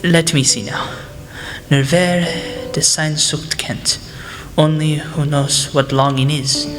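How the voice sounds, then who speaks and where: Young woman playing a low-voiced gentle professor. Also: fairly even intonation, slow, over music. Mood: gentle, thoughtful. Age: teenage student. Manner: hesitant, polite, formal phrasing. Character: gentle, earnest German male music professor. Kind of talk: student radio play acting. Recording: echoey hall.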